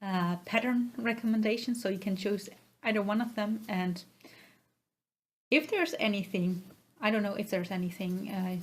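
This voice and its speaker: A woman with a Dutch accent hosts a casual vlog-style podcast. Her voice sits at a middling pitch for a woman. She talks in a hesitant, halting way and comes across as modest about herself, speaking directly to her viewers. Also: a little hiss or hum. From Netherlands. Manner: hesitant, halting, self-effacing. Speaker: podcast host.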